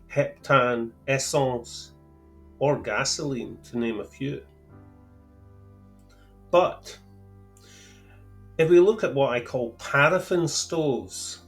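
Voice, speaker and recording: A man with a Scottish accent explaining fuel terms to camera in a careful, deliberate way, with a lightly wry touch. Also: mid-range voice. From Scotland. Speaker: outdoor gear channel host. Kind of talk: measured explanatory talk to camera.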